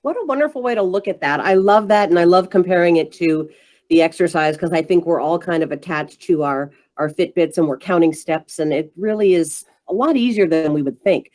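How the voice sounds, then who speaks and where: A woman's voice: lowish voice, fairly quick, a little mellow. A woman presenting an interactive online workshop for parents in an encouraging, emphatic way.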